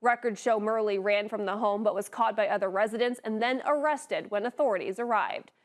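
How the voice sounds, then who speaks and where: A woman's voice: medium pitch, a little fast, dry recording, clean studio sound. A woman working as a television news reporter, reading scripted narration.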